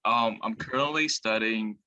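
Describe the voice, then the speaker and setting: Young man from China, bright and low. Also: unhurried, lo-fi sound. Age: young adult. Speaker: university student guest. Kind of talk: casual chat. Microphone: headset on a video call.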